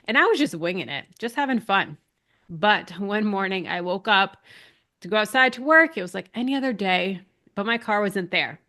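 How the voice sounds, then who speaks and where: A woman's voice: mid-range voice, animated, normal speed, sharp tone. A chatty, upbeat woman in her thirties hosts a live online class and greets viewers by name. A lingering cold leaves her a little nasal, with a dry throat and some shortness of breath. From Massachusetts. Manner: chatty, upbeat, reads out chat names. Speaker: online business coach hosting a live class. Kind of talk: casual live webinar talk.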